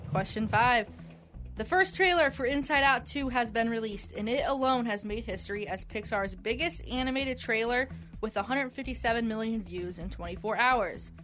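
A woman's voice: on the high side, normal speed, a bit bright, soft background music. A woman co-hosting a radio quiz, reading trivia questions in a conversational way.

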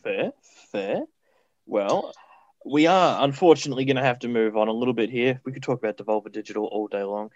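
A man's voice: medium pitch, brisk, no echo. Chatty man on a dull dry mic. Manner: chatty, upbeat. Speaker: radio co-host. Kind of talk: casual radio chat, song introductions.

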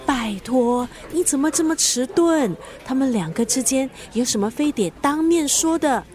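A woman's voice: sits high, expressive, normal speed, a little mellow. A woman acting in a radio drama as a teasing, bossy family friend who plays matchmaker. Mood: scheming, brisk.

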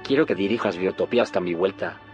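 An actor playing a grave, secretive scientist in an audio drama, solemn and explanatory. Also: male voice, low voice, quick pace, a little mellow, dull audio, soft background music, lightly acted. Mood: resolute. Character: grave, secretive male scientist haunted by failed timelines. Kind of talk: audio fiction drama acting.

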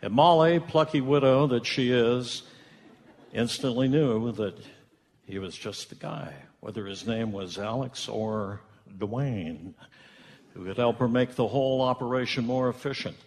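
A man narrating a scripted holiday parody with tongue-in-cheek, measured delivery and theatrical pauses. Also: medium pitch, a little mellow. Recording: reverberant hall, live stage.

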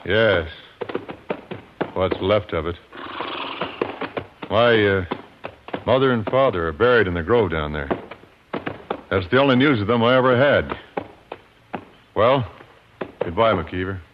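Determined frontier avenger, low and serious, clipped lines. Vintage radio drama, faint hiss. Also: male voice, expressive, unhurried, pauses often. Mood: somber. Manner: terse, serious. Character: grim, determined young avenger from Boston. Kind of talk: 1950s radio western acting. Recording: muffled vintage radio recording, faint noise.

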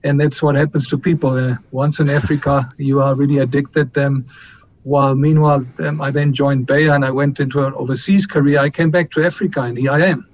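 A middle-aged man from Colombia, a company chief executive answering interview questions in a measured way, with frequent fillers and restarts. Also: fairly even intonation. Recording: muffled line with faint rumble.

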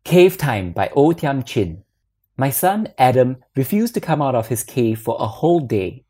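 A woman reading a literary short story aloud as narrator, in a measured and expressive manner. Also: very deep voice, slightly rough.

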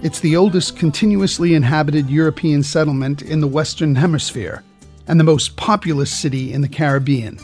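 A man narrating a documentary from a script in a measured, reflective way. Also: a little high, a little mellow, faint music behind.

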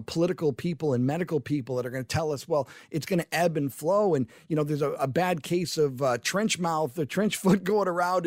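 A man's voice: a little high, a little mellow, dry recording. A man hosting talk radio, speaking in an impassioned, rambling way with frequent restarts, into a studio microphone. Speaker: radio talk show host.

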